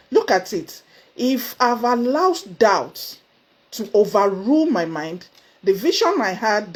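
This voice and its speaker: A woman with a Nigerian accent giving a motivational talk to camera, earnest and emphatic, with sudden high exclamations. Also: a little mellow, breathy edge. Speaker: motivational speaker. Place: indoors, seated before camera.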